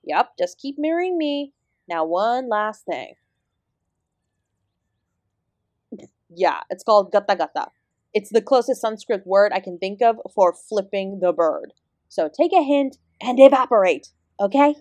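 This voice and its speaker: A woman's voice: mid-range voice, lively intonation, moderate pace, darkish tone, no room sound, natural voice. A woman playing an ancient celestial dance teacher in an audio roleplay, sarcastic and exasperated, speaking with sardonic, sweary emphasis. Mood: weary, snarky. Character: ancient female apsara dance guru, sarcastic, exasperated, fiercely independent. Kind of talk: scripted audio roleplay addressed to the listener.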